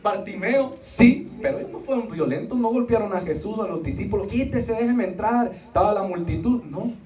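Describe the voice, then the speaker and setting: This is a man preaching in a fairly high but mellow voice. He repeats phrases for emphasis and stretches key words out slowly. He sounds a little distant from the recorder, with the room ringing around him. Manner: emphatic, drawing out key words, pausing for congregation responses. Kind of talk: Spanish-language church sermon with rhetorical repetition and acted asides. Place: small church meeting room, indoors. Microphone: handheld microphone, recorded from the seats. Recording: roomy, distant audience recording with faint noise.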